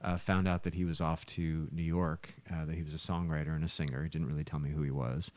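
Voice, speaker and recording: A man with a very low voice talks casually as a musician. The recording is close and dry, like a living room podcast taped right at the mic. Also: level tone, fast, no echo. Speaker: musician and songwriter guest. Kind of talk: casual storytelling chat. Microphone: close podcast microphone.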